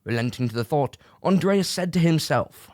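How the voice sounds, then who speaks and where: A man's voice: fairly high pitch, animated, normal speed, a little mellow, no room sound, studio quality. A man narrating a scripted fantasy audio drama as a storyteller. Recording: prominent music bed behind.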